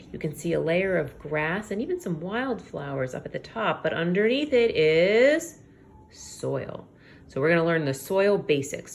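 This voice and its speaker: A woman teacher reading aloud to young children, expressive, pausing to explain things simply and check in with her listeners. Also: lowish voice, slight background noise. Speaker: elementary school teacher.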